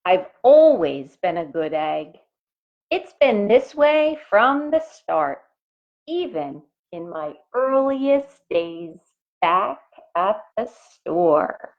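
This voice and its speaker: A woman voicing an earnest, overburdened good egg who tells its own story in a children's picture book, with measured, dramatic phrasing. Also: medium pitch, very animated, heavily acted. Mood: eager, proud. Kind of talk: children's storybook narration in character. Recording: phone-like, narrow-band sound.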